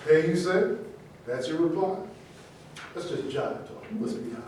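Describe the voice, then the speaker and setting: Male actor, soft-toned, slightly high pitch, script reading, very reverberant and off-mic. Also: lively intonation, moderate pace. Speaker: actor in a staged play reading. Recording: echoey room, distant mic, faint noise.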